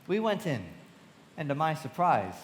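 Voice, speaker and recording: A man playing a frank young storyteller in a live radio play, heard in a long, noisy hall reverb. His voice sits a little high and moves in lively swings as he delivers literary narration and dialogue. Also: normal speed. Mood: reflective, descriptive. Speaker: narrator and actor. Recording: long hall reverb, clear background noise.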